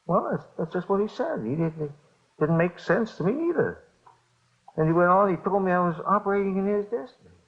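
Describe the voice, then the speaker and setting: Nervous, stammering American bookmaker. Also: male voice, sits high, expressive. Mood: nervous, defensive. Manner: halting, stammering, repeats himself. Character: scared small-time bookmaker under police questioning, nervous, pleading. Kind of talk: 1950s radio drama acting. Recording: dull vintage radio recording.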